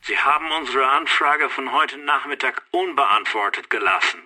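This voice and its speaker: An actor playing a stern Canadian military officer giving a wartime ultimatum in a strict, clipped, commanding manner. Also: male voice, mid-range voice, lively intonation, moderate pace, sharp tone, breathy edge, full character voice. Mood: cold, threatening.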